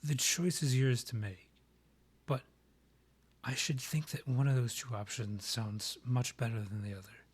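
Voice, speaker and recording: A man acts out a proud vampire king in a fantasy audio roleplay. The voice is breathy and husky at a middling pitch. The phrasing is formal and measured, with a courtly, theatrical polish. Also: steady tone. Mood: measured, advisory. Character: proud, courtly male vampire monarch, rival and ex. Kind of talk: scripted roleplay audio, ASMR.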